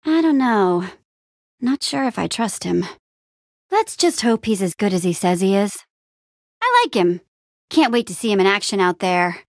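A woman's voice: mid-range voice, big pitch swings, normal speed, pauses often, dry recording, clean studio sound. A woman playing an upbeat, plucky young female adventurer in a video game, with an eager, wry and casual way of talking. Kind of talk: animated adventure game dialogue.

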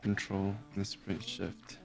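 A man's voice: deep voice, monotone, takes it slowly, gravelly. A man playing a game for the first time over voice chat, unsure and reading out the settings. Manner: unsure, apologetic, reads settings aloud. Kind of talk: casual gaming chat.

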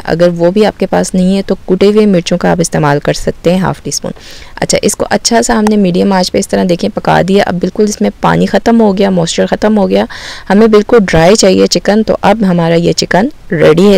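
A woman from Baltistan hosting a home cooking channel, calmly explaining each step of a recipe to the viewer. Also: mid-range voice, creaky, no echo. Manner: calm, explanatory, addresses viewer directly.

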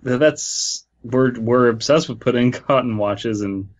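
A man's voice: mid-range voice, a little slow. A man hosting a podcast, reading a story aloud and breaking off to joke about it. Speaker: podcast host. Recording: slightly dull, lo-fi sound.

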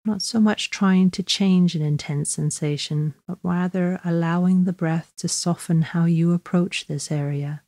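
A woman guiding a body scan meditation in a slow, measured, gently instructive way, leaving long pauses. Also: on the low side, fairly even intonation, no room sound. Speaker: mindfulness meditation guide.

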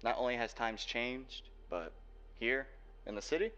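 A young man playing a guarded, protective cyberpunk hacker boyfriend in an ASMR roleplay, speaking earnestly and haltingly. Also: mid-range voice, lively intonation, fairly bright tone, lightly acted. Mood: earnest, tender. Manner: earnest, halting delivery with sighs. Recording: dull lo-fi home recording, faint noise.

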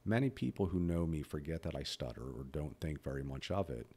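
A man's voice: very deep voice, lively intonation, brisk, mellow, slightly rough. A man in his fifties who stutters, talking bluntly and candidly about his own life as a podcast host. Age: 50s. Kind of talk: personal reflective monologue.

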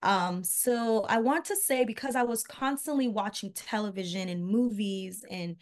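A woman's voice: medium pitch, moderate pace. A young woman from Haiti, a recording artist, chatting casually as a guest over a video call, with lots of fillers and trailing asides. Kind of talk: casual interview chat. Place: home room, indoors. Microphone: video call webcam.

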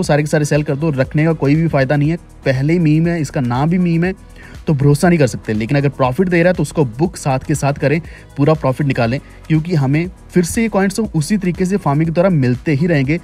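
A man's voice: on the high side, dark tone. A man hosting a crypto channel, explaining a screen recording in a repetitive, urging way. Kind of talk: screen-recorded tutorial talk.

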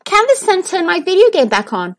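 Woman as a high-pitched, curious storybook boy. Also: huge pitch swings, brisk, heavily acted. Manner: wondering, exclaiming. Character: curious, excitable young boy. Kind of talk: children's storybook read-aloud.

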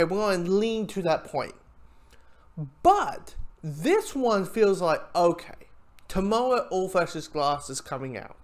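A man giving an informal spoken review, talking in a rambling, halting way with frequent asides. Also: sits high. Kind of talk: informal audio drama review commentary.

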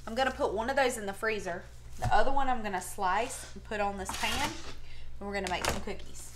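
A woman hosts a casual home cooking demonstration with a mid-pitched voice. She is chatty and folksy, using informal, slangy phrasing. The recording is made in a kitchen from a step or two away, with clear background noise and clicks. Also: a little fast. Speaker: home cooking show host. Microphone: camera a step or two away.

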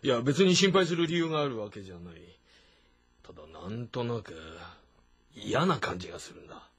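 A rough, loyal general of a historical drama. His voice is extremely husky and moves widely in pitch. He talks bluntly and informally, like a soldier. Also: lively intonation, fairly quick. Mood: uneasy, earnest. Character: rough, loyal middle-aged male warrior general, plain-spoken.

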